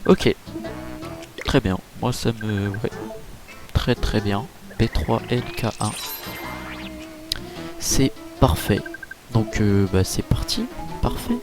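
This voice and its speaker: A man giving casual, chatty let's-play commentary over a game, full of fillers and quick reactions. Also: on the low side. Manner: chatty, filler-heavy, easily impressed. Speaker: gaming YouTuber. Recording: game music playing behind.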